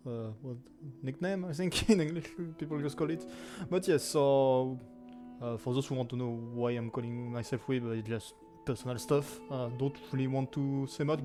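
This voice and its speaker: Young man, strong french accent, mellow dark tone, rambling casual chat, faint music behind. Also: mid-range voice. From France. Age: 20s. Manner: rambling, many fillers and self-corrections, frequent laughs. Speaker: gaming YouTuber. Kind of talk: casual anniversary chat to camera.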